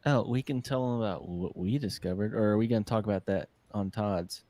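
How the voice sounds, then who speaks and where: A man's voice: lowish voice, fairly quick, warm tone, no room sound. A man co-hosting a casual podcast, a little congested, chatting and joking easily. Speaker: podcast co-host. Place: hot room with a fan.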